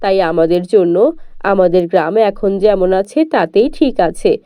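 A woman's voice: mid-range voice, a little slow, warm tone, dry recording, clean studio sound, natural voice. A woman voicing simple villagers in a children's cartoon fairy tale. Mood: humbled. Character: simple village folk speaking to young heroine.

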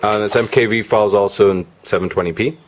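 Guy with a lowish voice showing off a gadget, casual n unscripted, bit of music behind. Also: fairly even intonation, quick pace. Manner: informal, off-the-cuff. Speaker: product reviewer. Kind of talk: casual hands-on gadget demo. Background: music from demo videos on a player.

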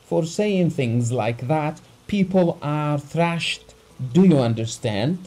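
A man's voice: medium pitch, lively intonation, unhurried, warm tone, breathy edge, faint music behind. A man reading a story aloud, voicing a wealthy, haughty nobleman who shrieks at others and his servants. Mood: indignant. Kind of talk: audiobook character reading.

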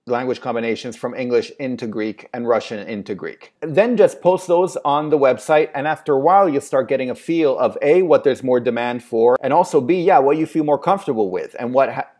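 Man, 30s, casual webcam vlogger, relaxed, thinks aloud, hedges often; earphone mic, small home room. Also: mid-range voice. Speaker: translation agency owner giving advice. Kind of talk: casual advice vlog answering a viewer question.

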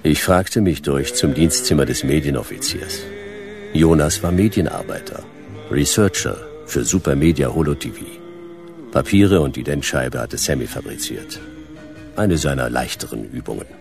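Casting: hard-boiled radio-play detective, rock-bottom pitch, gravelly, laconic and deadpan. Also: male voice, normal speed, music in the background, exaggerated voice. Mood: dry, brooding. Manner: terse, deadpan. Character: laconic, world-weary male private detective. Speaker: detective and narrator.

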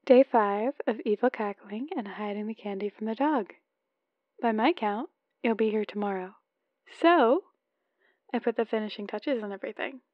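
A woman's voice: mid-range voice, normal speed, no echo, lo-fi sound, lightly acted. A woman playing an adorkable, lovesick, playful newlywed wife leaving chatty voicemails in an ASMR roleplay. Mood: cheerful, eager. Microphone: close microphone, dry.

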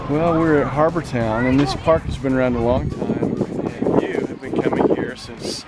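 A man's voice: mid-range voice, normal speed, loud music behind the voice, poor audio. A middle-aged man, the vlogger's father, chatting fondly with his daughter outdoors in a park.

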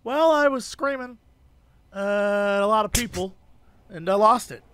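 A man co-hosting a casual podcast, hoarse from losing his voice, joking around and breaking into silly sung bits. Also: sits high, unhurried, no room sound. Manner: goofy, sings and shouts bits, jokey asides. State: hoarse, lost voice. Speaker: podcast co-host.

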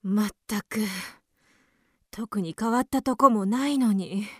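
A woman's voice: mid-range voice, takes it slowly, a bit bright, no echo, studio quality, soft background music. An actress playing an anxious, prim wife and mother with a scolding, proper way of speaking in a radio drama. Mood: uneasy, grumbling. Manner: scolding, proper feminine diction.

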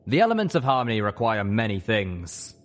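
A man voicing a steady, matter-of-fact male dragon in an audiobook story. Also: lowish voice, fairly quick. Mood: calm, reassuring.

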